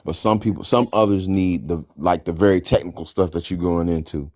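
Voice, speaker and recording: A male caller on a live stream who rambles, repeats himself and stumbles over his words. His voice sits on the low side. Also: fairly even intonation, a little fast, a little mellow. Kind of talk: casual argumentative chat.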